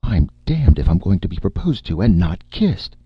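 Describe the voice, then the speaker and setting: A man voicing a feisty, bossy woman in a story, a sharp-tongued agent who scolds briskly and emphatically. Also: sits low, big pitch swings, gravelly, husky, dry recording, dull audio, voice pushed up, exaggerated voice. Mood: irritated.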